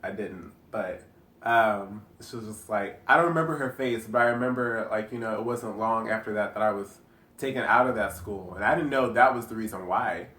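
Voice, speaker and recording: A man in his twenties answering interview questions candidly and wryly, often trailing off softly. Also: on the low side, moderate pace. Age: 20s. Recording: roomy echo, from a distance.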